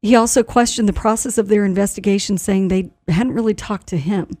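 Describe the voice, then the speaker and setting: A woman's voice: medium pitch, a little fast, warm tone, no room sound, clean studio sound. A woman hosting a local radio news talk show in a conversational, informal way.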